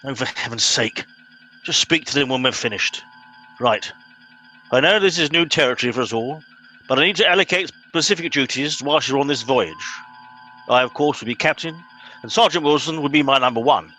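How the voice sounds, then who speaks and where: A man's voice: mid-range voice, brisk, bright, forceful tone, faint music behind, close to an everyday voice, rough recording. A man with an English accent playing a pompous, impatient middle-aged Home Guard captain in a comedy radio play. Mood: exasperated. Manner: officious, impatient.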